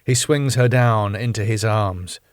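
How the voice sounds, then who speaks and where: A man reading scripted stage directions as narrator, in measured, deliberate and dramatic phrases. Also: fairly low pitch, dry recording, studio quality. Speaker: narrator reading his own sitcom script.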